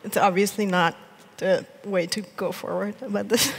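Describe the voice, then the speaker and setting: A woman's voice: medium pitch, normal speed, vocal fry, husky. Female panelist, modest and self-deprecating, big hall reverb. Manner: modest, laughs between phrases. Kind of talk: conference panel talk. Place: large conference stage.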